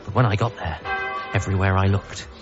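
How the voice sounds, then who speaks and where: A man's voice: low-pitched, steady tone, brisk, muffled recording, over music, lightly acted. An actor playing a frantic, paranoid son who earnestly believes he must save the Earth, in a radio comedy drama. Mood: earnest, urgent. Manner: earnest, conspiratorial, self-deprecating. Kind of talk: radio comedy drama, first-person narration and dialogue.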